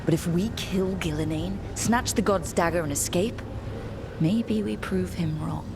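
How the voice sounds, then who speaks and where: A woman's voice: fairly low pitch, a little slow, background noise. An actress playing a decisive female hero who leads her team with direct commands in a video game cutscene. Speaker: team leader. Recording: game audio with music.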